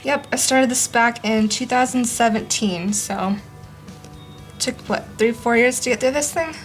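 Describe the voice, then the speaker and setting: A young woman chatting casually in her living room as a vlog host, rambling with lots of ums and a voice that is going hoarse. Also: a little high, unhurried, faint music behind. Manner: rambling, many ums, laughs often. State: losing her voice. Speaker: art vlogger. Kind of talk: casual chat.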